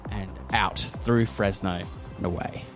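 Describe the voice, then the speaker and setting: A man's voice: low-pitched, steady tone, measured pace. A man acting as game master, narrating a tabletop role-playing game and calling out the action. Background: prominent music.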